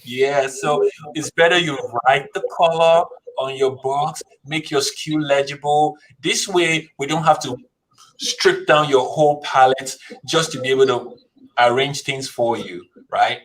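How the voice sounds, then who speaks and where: A man's voice: medium pitch, unhurried, fairly bright tone, low-quality recording. A man, a guest on an interview show, giving practical step-by-step advice on shipping in a casual way. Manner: practical, explains step by step, says "right?" often. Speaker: guest, logistics business founder.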